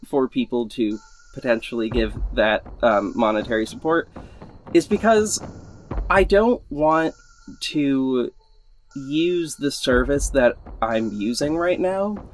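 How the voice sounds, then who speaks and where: A man hosting a podcast gives a casual, unscripted update to his listeners in an enthusiastic, informal, somewhat rambling and halting way. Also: mid-range voice, slight background noise. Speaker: podcast host and creator. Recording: music under the voice. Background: music.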